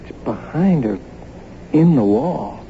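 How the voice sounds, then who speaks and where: An actor playing an easygoing, tired young working husband in a naturalistic radio drama, casual and low-key. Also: male voice, expressive, takes it slowly. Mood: tired, relaxed. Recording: muffled, faintly noisy old radio broadcast.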